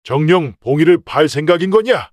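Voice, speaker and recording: Man acting shrewd merchant patriarch, folktale drama, slightly high voice, old-fashioned authority, very dry sound. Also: lively intonation, a little fast, no echo, natural voice. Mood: stern, suspicious. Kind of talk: acted folktale dialogue.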